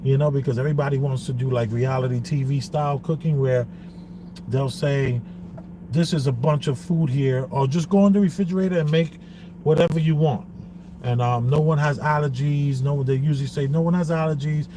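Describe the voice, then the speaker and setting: A man co-hosting a loose podcast, his voice sitting on the higher side, lively as he acts out quotes. A constant hum of background noise sits under the recording. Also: a little fast. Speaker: podcast co-host. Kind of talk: casual podcast chat. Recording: noisy background.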